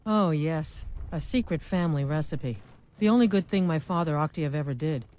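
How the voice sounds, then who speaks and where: A woman's voice: sits low, normal speed, darkish tone, lightly acted. Chatty woman playing a game wine merchant. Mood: proud. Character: practical female spiced-wine merchant, chatty and businesslike. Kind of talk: video game character dialogue.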